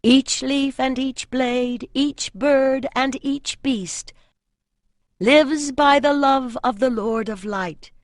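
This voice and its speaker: A woman's voice: sits high, slow, dry recording, pitched above the performer's own voice. A solemn priestess of an ancient land, as a woman narrator voices her, speaks as if in ritual. Her delivery is formal, ceremonial and incantatory. It is a fantasy audiobook with a very dry sound. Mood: exalted invocation.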